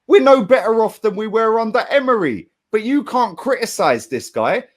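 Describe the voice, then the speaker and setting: A man's voice: high-pitched, animated, moderate pace. A man, arguing heatedly about football and swearing as he talks over others. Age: 30s. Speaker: fan panelist. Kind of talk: heated argument.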